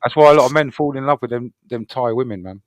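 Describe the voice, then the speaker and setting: A man's voice: medium pitch, fast, no room sound. A man chatting casually on a late-night stream, laid-back, swearing and laughing often. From England. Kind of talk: casual chat.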